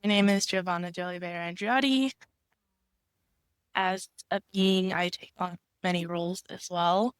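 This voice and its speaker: Raspy-voiced young woman getting over a cold. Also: fairly low pitch, fairly even intonation, moderate pace, no room sound, studio quality. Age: 20s. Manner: reflective, thinking aloud. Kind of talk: casual conversation.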